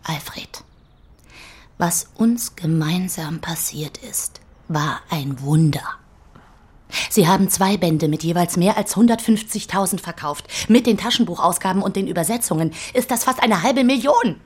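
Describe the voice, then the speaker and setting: A woman playing a brisk, insistent, sarcastic publisher badgering her author in a German radio play. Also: fairly low pitch, slightly rough. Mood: pleading, firm.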